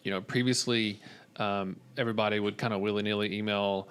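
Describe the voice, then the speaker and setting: A man in a casual podcast conversation, telling things in a halting, pausing way. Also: fairly low pitch, fairly even intonation, fairly bright tone, smooth.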